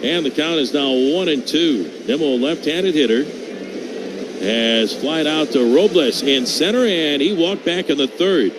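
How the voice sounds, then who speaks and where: A man's voice: on the high side, expressive, unhurried. Male baseball announcer over ballpark noise. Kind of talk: live radio sports commentary. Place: open-air ballpark broadcast booth. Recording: clear outdoor ballpark noise behind.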